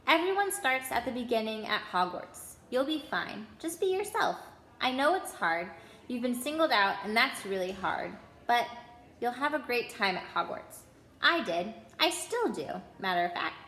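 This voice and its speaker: A big, kindly storybook protector, a man played by a woman, reassuring and folksy. The tone is bright and fairly high, and the room echoes clearly. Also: big pitch swings, moderate pace, full character voice.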